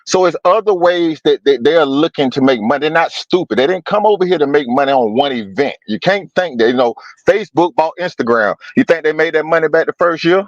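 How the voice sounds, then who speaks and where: A man's voice: fairly high pitch, lively intonation, fairly quick, few pauses, no room sound, muffled recording. A man on a stream panel pushing back with worked examples, speaking. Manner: pushes back, builds argument with examples. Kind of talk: live-stream panel debate.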